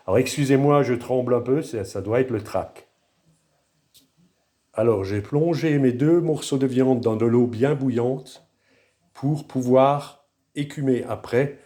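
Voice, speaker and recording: A man's voice: medium pitch, warm tone. A man with a noticeable regional French accent walking through a home recipe, filming on a handheld phone in his kitchen and talking in a hesitant, self-deprecating way. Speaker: home cook. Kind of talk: casual cooking demonstration.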